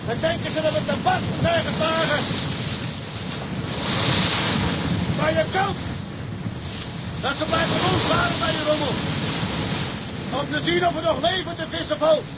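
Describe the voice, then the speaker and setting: An actor playing a weary but steadfast ship's mate in a storm at sea, speaking tersely and with authority in a radio drama. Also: male voice, very high voice, fairly even intonation, normal speed, long pauses. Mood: grim, weary. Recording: loud wind, waves and engine noise.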